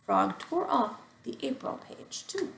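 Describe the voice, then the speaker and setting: Female first-grade teacher, storybook read-aloud: unhurried, simple explanations, animated intonation, roomy recording. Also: on the high side, gravelly, noisy background. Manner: explains simply to children, with deliberate phrasing. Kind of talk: reading a storybook aloud to young children.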